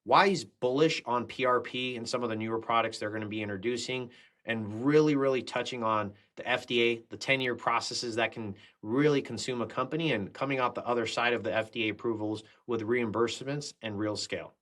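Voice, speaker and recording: A man's voice: medium pitch, a little fast, a bit bright. A man hosting a podcast interview, introducing his guest and asking questions eagerly, leaning on fillers. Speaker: podcast host.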